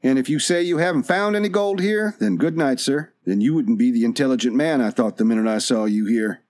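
A mysterious drifter who speaks calmly and courteously, measured and unruffled, as a male narrator reads him. The voice sits at an everyday middle pitch. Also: no echo, studio quality, close to an everyday voice. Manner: calm and courteous.

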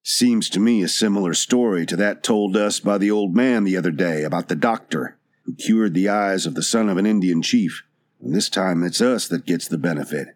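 A man voicing a cynical gold hunter who mutters in a low voice. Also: normal speed. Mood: sour. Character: suspicious gold-hunting man, sour and cynical.

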